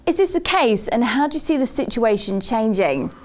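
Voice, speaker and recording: A woman presents a financial news interview. Her voice sits on the higher side, and she speaks as a TV host putting questions to a guest. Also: lively intonation, moderate pace.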